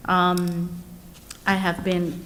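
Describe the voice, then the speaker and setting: Woman with hesitant, halting speech. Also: lowish voice, monotone, a bit bright. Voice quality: hesitant, many ums. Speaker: school board candidate. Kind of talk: candidate speech. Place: school cafeteria. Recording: hall reverb, faint room noise.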